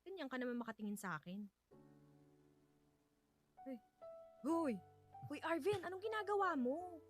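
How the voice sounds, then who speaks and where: An actress playing a stubborn, prickly, grieving young widow in a Filipino radio drama, with emotional, protesting delivery. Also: female voice, high-pitched, lively intonation, measured pace, faint music behind. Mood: shocked, upset.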